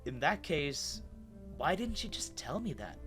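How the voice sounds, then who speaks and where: A man's voice: fairly high pitch, very animated, unhurried, over music. A man playing a bewildered human with lost memory, narrating his own story in a dramatic, hesitant, wondering way. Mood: uneasy, wondering.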